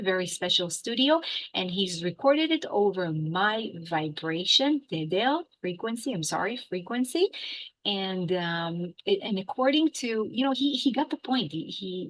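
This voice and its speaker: A woman from Israel with an Israeli accent, an English teacher chatting as a guest in an interview, animated and full of fillers and restarts. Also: on the low side, rough recording. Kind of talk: casual interview chat.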